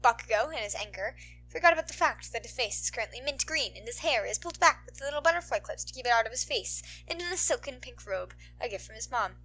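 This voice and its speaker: Bright-voiced woman reading a story aloud. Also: fairly high pitch, lively intonation, fast. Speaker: amateur fan fiction reader. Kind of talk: expressive story narration with casual swearing.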